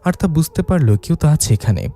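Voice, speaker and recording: A man's voice: a little high, big pitch swings, fairly quick, mellow. A man narrating a ghost story in a dramatized storytelling style.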